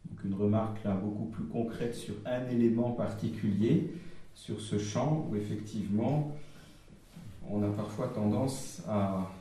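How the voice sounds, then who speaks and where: A man speaking at a church gathering, summarizing group feedback and reading out notes in a halting way, with frequent pauses and restarts. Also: fairly low pitch, mellow. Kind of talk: public talk, reading out notes. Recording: very echoey hall, voice from far off the mic.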